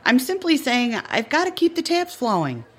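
A woman's voice: sits high, very animated, normal speed, husky, heavily acted. A woman playing a wry, affectionate aunt who runs a bar in an audio drama, teasing and no-nonsense. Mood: wry, firm.

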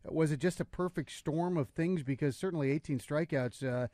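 Sports radio host guy, slightly higher voice, chatty and smooth, dry studio sound. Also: moderate pace, warm tone, dry recording. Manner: chatty, smooth leading questions.

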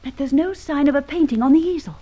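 A woman's voice: sits high, fast talker, mellow, natural voice. A woman playing an anxious, earnest young lady accomplice in a vintage radio drama, with a fretful, urgent manner. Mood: earnest, concerned. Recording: dull old radio recording.

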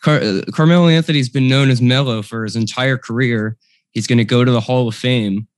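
A man's voice: medium pitch, a little fast, dry recording. An eighteen-year-old man co-hosting a podcast, giving firm opinions in casual chat. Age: late teens. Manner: opinionated, firm stance-taking. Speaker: podcast co-host.

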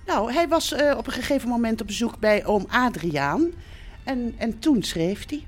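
A woman's voice: fairly high pitch, animated, moderate pace, faint music behind, close to an everyday voice. An actress playing a cosy, chatty older mother in a radio play, rambling and hesitant as she soothes her grown children. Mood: defensive, reassuring. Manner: hesitant, rambling, repeating herself. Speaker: actor in an audio drama. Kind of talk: naturalistic radio play acting.

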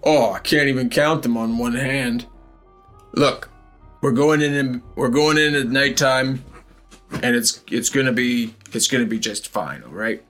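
A man's voice: medium pitch, unhurried, fairly bright tone, faint music behind, close to an everyday voice. A man playing an impatient, evasive cult henchman in a tabletop game, talking in casual, slangy, exasperated bursts. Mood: evasive, reassuring. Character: gruff, exasperated cult raider, reassuring and evasive.

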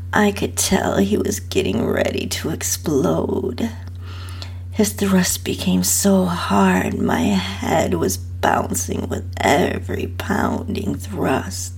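A woman narrating an intimate first-person adult story in a breathy, half-whispered delivery. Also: lowish voice, a little mellow. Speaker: host and narrator reading own story.

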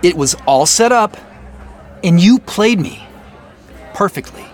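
A man's voice: high-pitched, lively intonation, slow pace, a faint crowd. An actor playing an earnest, probing male boss in naturalistic audio drama, speaking in an insistent, questioning, conversational way. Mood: accusing, probing.

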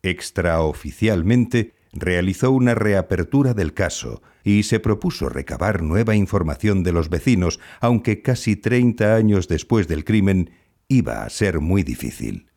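A man playing a true-crime podcast narrator, delivering measured, suspenseful scripted documentary narration. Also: sits low, expressive, darkish tone. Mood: measured, intriguing.

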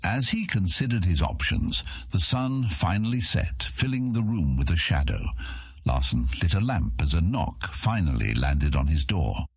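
Very deep, husky male fantasy narrator. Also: big pitch swings, normal speed, dry recording. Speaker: audiobook narrator. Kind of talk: scripted dramatic fantasy narration.

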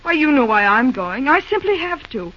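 Fretful young office gal, high voice, earnest n scolding, old radio drama, muffled lo-fi sound. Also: female voice, very animated, moderate pace. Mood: indignant, pleading. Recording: dull, lo-fi old radio recording.

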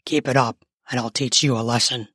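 A woman playing a grumpy, dominant young man roused from sleep. His voice is husky and growling, commanding even when groggy. It sits close and dry. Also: very deep voice, brisk, no echo, pitched below the performer's own voice, exaggerated voice. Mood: menacing.

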